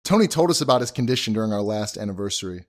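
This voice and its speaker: An actor playing an earnest, thrifty husband who explains and justifies himself in an audio drama. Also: male voice, on the low side, lively intonation, rapid-fire, lightly acted. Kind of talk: acted audio drama dialogue.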